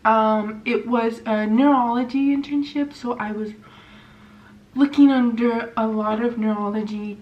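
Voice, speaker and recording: A woman in her twenties from California, chatting casually and rambling with lots of fillers while doing her makeup at home. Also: on the high side. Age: 20s. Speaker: vlogger. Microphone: camera mic. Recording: slightly dull sound with faint hiss.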